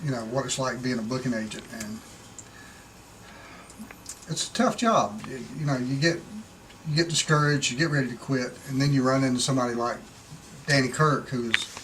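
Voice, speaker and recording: A man's voice: mid-range voice, normal speed, long pauses, fairly bright tone, a little hiss or hum, low-quality recording. A man working as a booking agent, speaking in an interview with an earnest, admiring storytelling manner. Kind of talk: interview talk.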